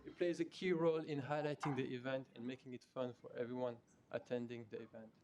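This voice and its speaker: A man's voice: mid-range voice, fairly even intonation, fast talker, background noise. A man from Senegal, a student government director, speaking bluntly and informally in an off-the-cuff floor debate, with casual fillers. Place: student senate meeting room.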